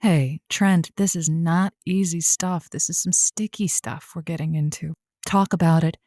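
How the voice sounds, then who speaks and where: A woman playing a frank, folksy and wry advice host on a comedy call-in show, with measured, pointed phrasing. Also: fairly low pitch, fairly even intonation, mellow, no echo, clean studio sound, close to an everyday voice. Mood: wry, reassuring. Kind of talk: improvised comedy radio advice talk.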